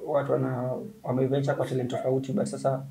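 A man's voice: mid-range voice, monotone, quick pace, creaky, a crowd in the background, rough recording. A man in his thirties with a Kenyan accent, answering interview questions as a manager. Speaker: gallery manager. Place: indoor art gallery room. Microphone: lapel.

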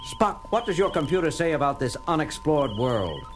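An actor playing a decisive, authoritative starship captain in a 1970s audio drama. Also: male voice, a little high, very animated, moderate pace. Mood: calm. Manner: authoritative, clipped orders. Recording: loud music behind the voice.

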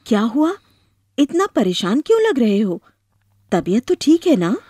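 Cartoon young wife, voiced by a woman: caring, concerned, somewhat high voice, dry close sound. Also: big pitch swings, unhurried, mellow, slightly rough, dry recording, exaggerated voice. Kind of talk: animated moral story voice acting.

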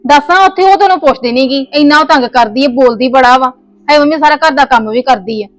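A woman's voice: fairly high pitch, normal speed, natural voice. A woman playing a stubborn young wife. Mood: insistent, heated. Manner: scolding, insistent, voice rising in argument. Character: young married woman, stubborn, quick to argue. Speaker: wife in a family skit. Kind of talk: scripted family comedy skit.

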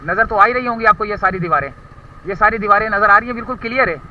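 A man with a Karachi Urdu accent giving rambling ride-along commentary from a motorcycle, recorded on a helmet camera, often pausing to search for words. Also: sits high, sharp tone. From Pakistan. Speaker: motorcycle vlogger. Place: outdoors on a motorcycle, muddy city street. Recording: distant, dull lo-fi with faint traffic.

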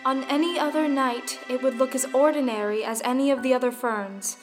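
Female storyteller played by an actress for folktale audio play, high-pitched, over soft music. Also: fairly even intonation, a little fast, lightly acted. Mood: storytelling. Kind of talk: scripted dramatic folktale narration. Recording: music behind the voice.

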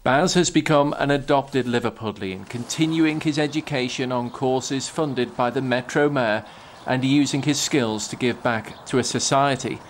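A man narrating a regional news report in a measured, scripted way. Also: mid-range voice, slight background noise.

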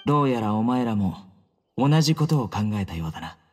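A man playing a stern, calm young investigator in a game, speaking in a measured, commanding way. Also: medium pitch, faint music behind, close to an everyday voice. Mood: calm, authoritative. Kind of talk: Japanese anime game voice acting.

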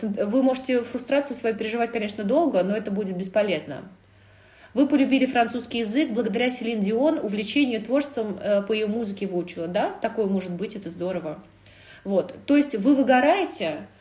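Chatty woman teaching in a roomy echo. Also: mid-range voice. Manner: chatty, lively, frequent filler sounds and self-corrections. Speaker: French teacher. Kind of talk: casual livestream lesson. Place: living room at home, indoors. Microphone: phone camera at arm's length.

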